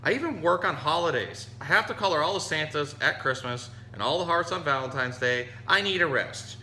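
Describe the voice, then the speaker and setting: A man's voice: on the high side, animated, a little fast, pushed and bright, voice pushed up. A man reading a storybook, voicing a weary, overworked crayon who complains and pleads. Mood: weary complaining. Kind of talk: storybook read-aloud. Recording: echoey room with audible hum.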